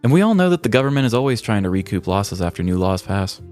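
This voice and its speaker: A man's voice: fairly low pitch, sing-song, fast, a little mellow, soft background music. An American man co-hosting a podcast, with a wry delivery that moves between scripted storytelling and casual chat. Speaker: podcast co-host.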